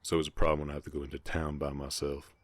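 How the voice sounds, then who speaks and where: A man with an American Southern accent voicing a gentle, shy, gruff orc ranch hand in a romantic western audio roleplay, speaking haltingly and protectively. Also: extremely low pitch, level tone, dry recording. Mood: reluctant, protective. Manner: bashful, halting, softly protective.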